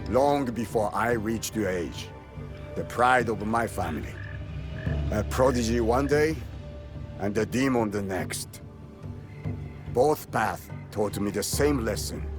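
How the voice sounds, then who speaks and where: A grave shinobi veteran from a video game, with a low voice and slow, deliberate delivery. He sounds ominous and philosophical, a fatalist through and through, over prominent music. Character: grave, fatalistic legendary shinobi warrior, once a prodigy. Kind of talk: dramatic game cutscene acting. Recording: music behind the voice.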